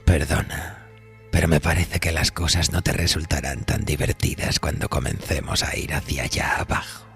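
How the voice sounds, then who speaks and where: A man's voice: extremely low pitch, fairly even intonation, moderate pace, a bit bright. A man voicing a sinister, menacing devil who works as a train conductor in a horror audio drama. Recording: faint music behind the voice.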